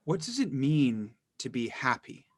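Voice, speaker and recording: A man's voice: mid-range voice, big pitch swings, no echo. Man as a brooding teenage brother, dry sound. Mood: wistful. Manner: reflective, measured. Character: brooding, introspective teenage brother in horror play. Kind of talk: dramatic monologue in script reading.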